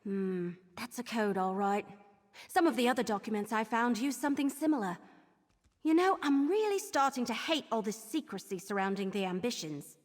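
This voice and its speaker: A woman's voice: fairly high pitch, moderate pace, in a large hall. A woman voicing an eager, chatty, perky female Wood Elf investigator in a video game. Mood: thoughtful, determined. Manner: perky, expressive.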